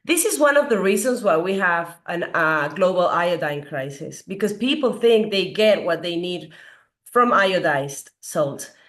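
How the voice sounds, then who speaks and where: A middle-aged woman from Peru gives an earnest health lecture as a doctor. Her voice is slightly hoarse from a recent cold, and she asks her audience questions with frequent fillers. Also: fairly low pitch, expressive, a little slow. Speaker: doctor giving a health talk. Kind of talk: explanatory lecture.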